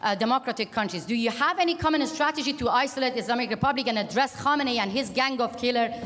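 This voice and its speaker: A woman from Iran asking a loud, direct and impassioned question at a conference panel. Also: fairly high pitch, quick pace. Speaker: audience member asking a question. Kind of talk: forceful public question at a panel. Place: large conference hall. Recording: long hall reverb.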